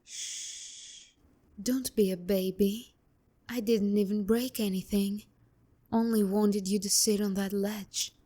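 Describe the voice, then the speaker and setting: Casting: actress as taunting female demon for romantic ASMR roleplay, unhurried and dark-toned, sharp tongue, breathy whispered asides. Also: medium pitch. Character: haughty, teasing, flustered female demon, bitter romantic rival. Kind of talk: ASMR roleplay, intimate character acting.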